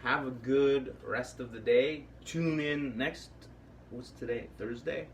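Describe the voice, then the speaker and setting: A man's voice: medium pitch, fairly even intonation, slow. A man hosting a workshop in a casual, improvised way, rambling as he thinks aloud. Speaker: puppetry workshop host. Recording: distant mic with faint room noise.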